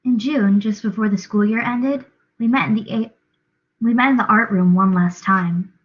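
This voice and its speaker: A woman's voice: mid-range voice, normal speed, a little hiss or hum. Young woman narrating a novel, dramatic read, kinda lo-fi muffled audio. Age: young adult. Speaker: reader, drama student. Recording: dull lo-fi recording.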